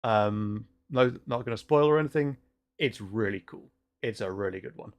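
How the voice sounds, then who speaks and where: A man hosting a casual livestream chat, speaking haltingly with repeated words and frequent chuckles. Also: fairly low pitch. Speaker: livestream host.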